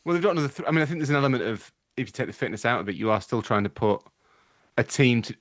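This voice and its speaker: A man's voice: mid-range voice, rapid-fire, dry recording, clean studio sound. A man discussing football conversationally as a pundit on a panel.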